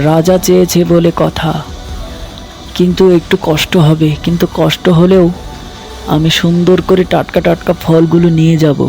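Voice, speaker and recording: A man's voice: fairly high pitch, gravelly, a little mellow. A man voicing a humble, devout villager in a cartoon, earnest, anxious and pleading. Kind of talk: acted cartoon monologue.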